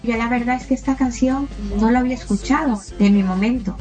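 Higher-voiced middle-aged woman, anecdotal. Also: a little fast. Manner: animated retelling with quoted dialogue. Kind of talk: casual chat, personal anecdote. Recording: faint music behind.

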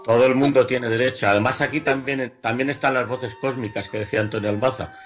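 A man's voice: mid-range voice, steady tone, quick pace, faint music behind. A man from Madrid with a Madrid Spanish accent, chatting casually as a radio co-host and repeating his words. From Spain, Madrid.

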